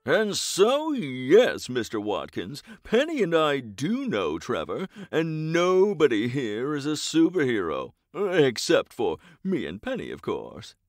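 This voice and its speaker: Actor as flamboyant millionaire superhero, wildly swooping delivery. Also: male voice, mid-range voice, huge pitch swings, slow, few pauses, dry recording, clean studio sound, exaggerated voice. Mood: breezy self-importance. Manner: theatrical, grandiose. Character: flamboyant, self-important millionaire superhero man, cheerful and clueless. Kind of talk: comedic audio drama acting.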